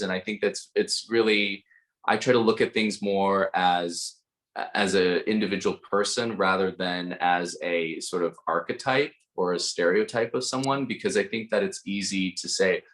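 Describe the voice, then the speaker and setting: A man from Idaho, talking in a casual video-call conversation, thoughtful and measured. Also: low voice, a bit bright. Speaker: dating show participant.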